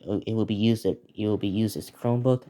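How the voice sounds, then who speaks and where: A teenage male video creator giving a casual, unscripted preview, talking in a halting, repetitive way as he lists things. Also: on the low side, steady tone, warm tone. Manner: halting, repetitive, listing place names.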